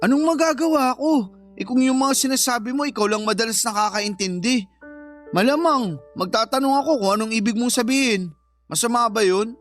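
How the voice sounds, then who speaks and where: A man acts a jealous working-class Filipino live-in boyfriend in an audio drama. He is plain-spoken and slow-witted, with a whiny, accusing way of talking. Soft music plays faintly behind him. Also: extremely high pitch. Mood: defensive. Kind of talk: Filipino radio-style drama acting.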